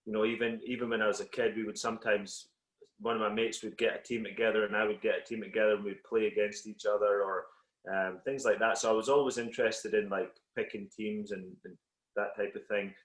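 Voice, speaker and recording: A Scottish man whose accent has softened a little, a football coach talking as a guest on a video call in a relaxed, reflective way with many fillers. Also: lowish voice, a little fast, roomy sound, low-quality recording. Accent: Scottish, slightly Americanised. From Scotland. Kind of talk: casual interview on a call.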